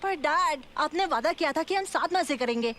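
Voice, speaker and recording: A high-voiced boy of about twelve in a cartoon comedy. He is eager and curious, always keen for adventure. Clear noise of effects sits behind the voice. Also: lively intonation, moderate pace, fairly bright tone. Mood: curious, insistent. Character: eager curious 12-year-old boy, lively and playful. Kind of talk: animated children's comedy dub in Hindi.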